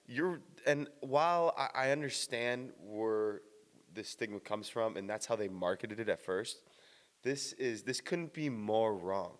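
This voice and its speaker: A man talks in a loose, blunt explainer style, swearing casually and restarting his sentences. His voice is slightly raspy from the early morning and sits at a middle pitch. A long reverb trails after his words. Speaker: fitness vlogger.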